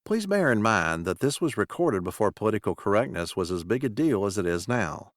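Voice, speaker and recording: A man reading a scripted podcast introduction as host, in a measured, formal announcing manner. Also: lowish voice, sing-song, dry recording, studio quality.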